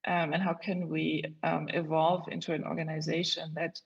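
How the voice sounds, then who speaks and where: A woman with a lowish, creaky voice speaks in a measured, reflective way as a panelist. Her words are not very crisply voiced, and the audio is a little dull. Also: little pitch movement. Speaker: panelist, head of a cultural institution. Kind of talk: panel discussion remarks.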